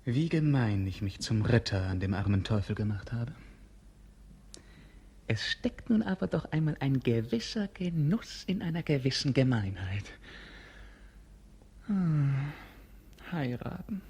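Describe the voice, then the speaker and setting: Bored young prince, husky, swooping from languid mockery to lyrical rapture, radio play. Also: male voice, mid-range voice, sing-song, measured pace, pauses often, darkish tone, heavily acted. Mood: brooding. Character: bored melancholy young prince, dreamy and sardonic. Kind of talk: 1950s radio play acting.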